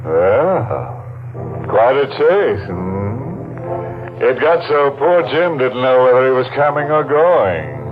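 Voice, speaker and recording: A man's voice: mid-range voice, sing-song, over music. An actor playing a sly, chuckling male horror host in a 1940s radio drama. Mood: gloating. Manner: slow, gloating, punctuated by chuckles. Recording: tinny old radio broadcast.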